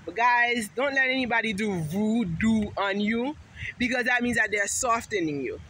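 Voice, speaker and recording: Middle-aged woman, high voice, rambling emphatic vlog on a phone at arm's length outdoors. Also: lively intonation, moderate pace, bright, forceful tone. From Haiti. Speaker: vlogger and teacher. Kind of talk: casual talk to camera. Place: sunny city park outdoors. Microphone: phone held at arm's length. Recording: noisy outdoor phone recording.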